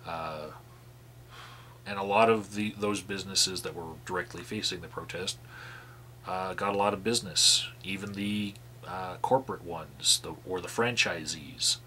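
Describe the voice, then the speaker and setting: A man with a lowish, bright and forceful voice reads records aloud in a plain tone. He stops often to sigh and comment in halting, restarting sentences. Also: a little hiss or hum. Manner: sighing, halting restarts between read passages. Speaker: activist vlogger reading out call logs.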